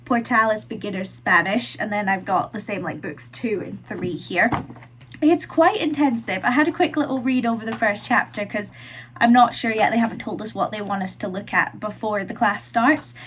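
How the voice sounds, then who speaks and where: A woman's voice: mid-range voice, normal speed, a bit bright, slight background noise. A young woman vlogging from her room, chatting casually with plenty of fillers, her nose blocked from a cold. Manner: chatty, lots of "like" and "um". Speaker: vlogger and university student.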